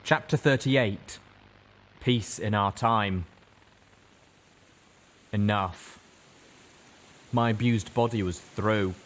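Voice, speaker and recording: A man narrating first-person fiction dramatically, with weighty delivery and deliberate pauses. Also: lowish voice. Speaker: story narrator. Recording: faint background hiss.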